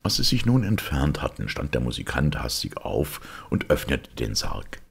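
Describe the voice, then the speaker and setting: A man narrating a fairy tale from a script in an expressive, dramatic way, with a gravelly, husky voice. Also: rumbling low, moderate pace.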